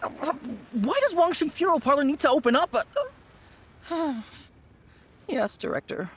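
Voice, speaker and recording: A woman voicing a young male apprentice in a video game, breathy, hesitant and sighing in defeat. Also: sits high, sing-song, measured pace, heavily acted. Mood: resigned.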